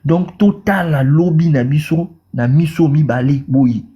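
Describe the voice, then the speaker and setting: A man's voice: on the high side, measured pace, mellow. A man from Congo with a Congolese French accent, speaking passionately in a political debate and repeating words as he goes. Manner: repeats words, mixes in Lingala. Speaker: caller in a debate. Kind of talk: impassioned political talk.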